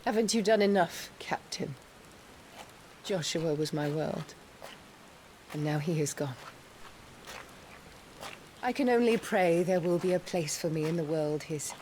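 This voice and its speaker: An actress playing a cold, regal, middle-aged noblewoman in a video game, speaking in a haughty, measured and formal way. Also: fairly low pitch, darkish tone, a little hiss or hum, natural voice. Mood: bitter grief. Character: cold, regal noblewoman, grieving yet ruthless mother. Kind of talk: dramatic video game acting.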